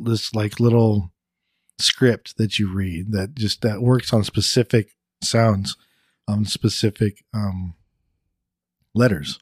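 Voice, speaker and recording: A young man co-hosting a podcast in casual chat, speaking in a deliberate, low-key way with pauses between thoughts, a little congested and raspy from a recent illness. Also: a little mellow, no room sound, clean studio sound. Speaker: podcast co-host.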